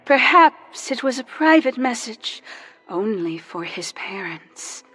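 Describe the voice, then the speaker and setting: An actress playing a solemn female spiritualist medium leading a séance in a radio drama, speaking in a slow, hushed, ceremonial way. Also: on the high side, very animated, faint music behind, exaggerated voice, poor audio.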